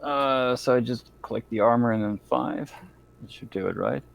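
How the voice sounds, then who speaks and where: A man playing a tabletop roleplaying game, talking casually in a halting, informal way. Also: mid-range voice, creaky. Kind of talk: casual chat during a game.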